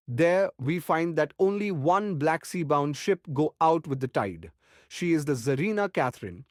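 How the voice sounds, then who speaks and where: A man voicing an earnest foreign professor and monster hunter in an audiobook, with halting grammar and grand rhetoric. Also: on the high side, dry recording, clean studio sound. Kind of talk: dramatised audiobook narration.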